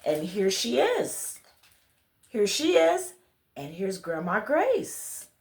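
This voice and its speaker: Lady doing a kids read-along, slow and super lively, asks the little ones questions, bit echoey. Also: medium pitch, creaky, slight background noise. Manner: addresses children directly with questions and exclamations. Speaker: children's read-along storyteller, host. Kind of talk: storybook reading with chatty asides to young listeners. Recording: roomy, echoey sound.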